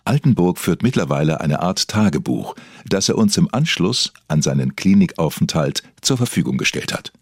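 A man with a husky voice reading scripted documentary narration in a formal, deadpan manner. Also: sits low, normal speed, hardly any pauses, no echo, studio quality.

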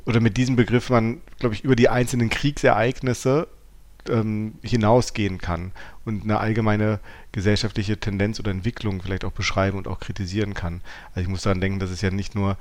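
A man's voice: on the low side, level tone, a little fast. A man co-hosting an intellectual podcast, reflective and searching as he rephrases his thoughts. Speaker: podcast co-host.

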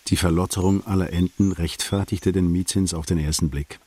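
An actor playing a reflective male prosecutor, narrating a literary radio drama in a measured, detached, observant way. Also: low-pitched, steady tone, dark tone, slightly rough, no echo. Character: reflective male state prosecutor recounting a visit to friends.

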